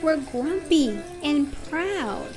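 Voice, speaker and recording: A woman's voice: high voice, lively intonation, extremely slow, over music, pitched above the performer's own voice, heavily acted. A woman voicing a grumpy, proud, boastful walrus in a children's storybook read-aloud. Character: grumpy, proud, mighty walrus from a picture book.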